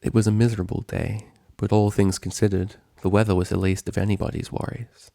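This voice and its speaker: A man reading a story aloud as scripted narration, in a somber, measured storytelling manner. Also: on the low side, level tone, gravelly, mellow, no echo, clean studio sound.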